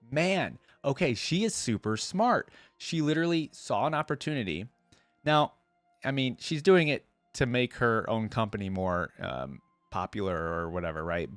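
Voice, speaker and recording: A man's voice: medium pitch, dry recording. A man hosting a reaction video, reading lore aloud and musing over it in a casual, conversational way.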